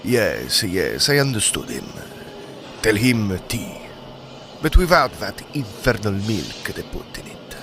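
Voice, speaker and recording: An actor playing a curt gentleman in a Victorian period audio drama. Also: on the low side, very animated, slow, heavily acted. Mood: irritable. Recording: music behind the voice.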